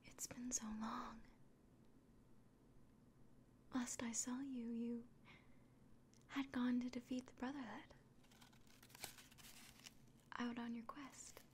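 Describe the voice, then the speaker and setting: A woman playing a kind, anxious village innkeeper in a fantasy ASMR roleplay, speaking gently and directly to the listener in a breathy, mostly whispered voice. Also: a little high, flat delivery, takes it slowly, long pauses, a little hiss or hum. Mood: relieved, concerned.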